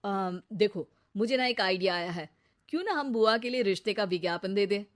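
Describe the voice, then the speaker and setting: A woman's voice: medium pitch, normal speed, natural voice. A woman voicing a sly, scheming relative in a cartoon family comedy.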